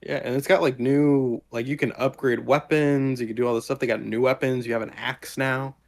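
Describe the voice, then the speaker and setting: A man's voice: mid-range voice, fairly even intonation, brisk, creaky. A man chatting casually as a podcast co-host, talking in a rambling, enthusiastic and loosely worded way. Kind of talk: casual chat.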